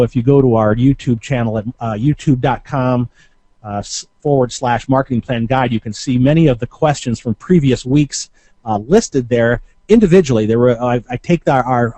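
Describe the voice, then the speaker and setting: A man giving informal instructional talk as a marketing coach, conversational and halting, often hesitating and correcting himself. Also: medium pitch, dark tone, dry recording.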